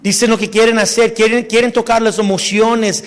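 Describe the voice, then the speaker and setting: A man preaching a casual, halting sermon, often restarting words and dropping slang into his talk. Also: sits high, flat delivery, in a large hall. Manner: casual preaching mixing Spanish with English slang. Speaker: pastor. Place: church sanctuary.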